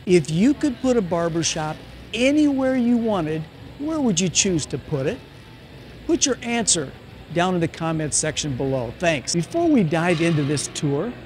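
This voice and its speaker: An older man, a barber, giving an upbeat direct-to-camera sales pitch in a shopping mall, asking questions and answering them himself. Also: a little mellow, a little hiss or hum. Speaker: barber and franchise owner. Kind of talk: direct-to-camera promotional vlog. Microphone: camera on tripod at mid distance.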